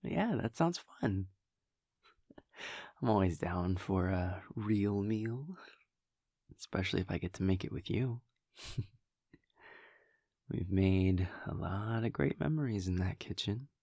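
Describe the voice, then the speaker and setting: Casting: male actor as a wry, affectionate vampire boyfriend for intimate audio roleplay; unhurried, gravelly, casual and teasing. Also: low-pitched, animated, dark tone, no room sound, clean studio sound, full character voice. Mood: playful, fond. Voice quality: breathy, gravelly, often dropping near a whisper. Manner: wry, teasing, hesitant, chuckling casual delivery.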